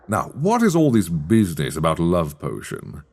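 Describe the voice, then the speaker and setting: A man acting a sly, teasing master of a magic academy in a dark fantasy ASMR roleplay, speaking in measured, wry, formal phrases. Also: sits low, huge pitch swings, creaky, exaggerated voice. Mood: puzzled, amused.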